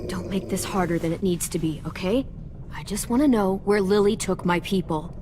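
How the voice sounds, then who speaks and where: A woman's voice: mid-range voice, normal speed, noisy background, close to an everyday voice. An actress playing a tough, determined teenage girl in a video game, speaking in a firm, blunt, controlled way. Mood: stern.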